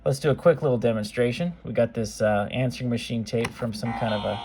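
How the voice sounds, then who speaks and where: A man's voice: mid-range voice, fast, heavy background noise. A man giving a casual product demonstration as a seller, talking in an informal, offhand, conversational way. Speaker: seller demonstrating modified cassette players.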